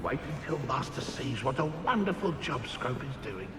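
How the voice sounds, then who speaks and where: A man's voice: mid-range voice, very animated, moderate pace, loud music behind the voice, natural voice. An actor voicing a small, servile house-elf in a video game, stammering anxiously and fawning over his master. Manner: stammering, obsequious, speaks of self in third person.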